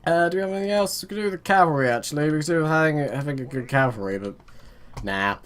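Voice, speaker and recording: A man's voice: on the high side, quick pace, fairly bright tone. Rambling man muttering through a strategy game. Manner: self-mocking, chatty. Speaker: let's play gamer. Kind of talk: casual gameplay commentary.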